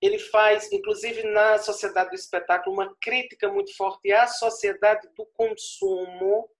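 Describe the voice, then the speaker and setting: A man's voice: high voice, steady tone. A man in his forties with a northeastern Brazilian Paraíba accent, giving an online lecture over a video call headset, stretching out key words and checking in with "certo?". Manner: expansive, tags points with "certo?", stretches key words slowly. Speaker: guest lecturer. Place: small room at home. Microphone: earphone headset on video call.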